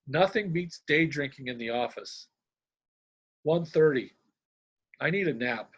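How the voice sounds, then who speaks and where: A middle-aged man from Indiana, a humor columnist with a deadpan manner, sharing his work. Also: on the high side, slightly rough. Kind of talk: reading a humorous diary-style column, then casual talk.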